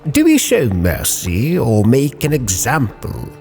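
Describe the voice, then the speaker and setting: A man's voice: mid-range voice, huge pitch swings, takes it slowly, creaky, a little mellow, pitched above the performer's own voice, full character voice. A man voicing a stern rodent aide in a story, formal and accusing, with music behind. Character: stern rodent aide demanding judgement on a thief.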